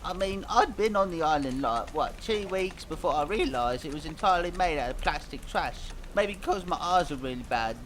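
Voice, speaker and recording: A man plays a young cartoon band singer with a notably high voice. He is earnest and a bit dim, rambling hesitantly and naively as he answers interview questions. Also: lively intonation, moderate pace, hardly any pauses, fairly bright tone, slight background noise. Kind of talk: scripted mock interview, fan dub.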